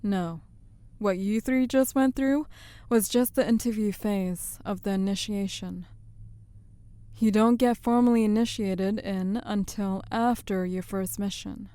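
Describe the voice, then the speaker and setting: A woman's voice: medium pitch, steady tone, measured pace, dark tone, clear, resonant tone, dry recording, lightly acted. A woman playing a no-nonsense crime syndicate enforcer in an audio drama, explaining things matter-of-factly. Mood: brisk, explaining.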